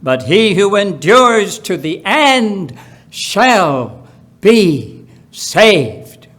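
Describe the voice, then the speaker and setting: A man reading scripture aloud in a solemn, deliberate way, with emphatic phrasing and pauses. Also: high voice, sing-song, fairly bright tone. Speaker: Bible reader at a church.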